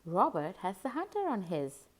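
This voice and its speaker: Animated woman reading a children's picture book. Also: medium pitch. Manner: animated, deliberate storytelling. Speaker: host and read-aloud narrator.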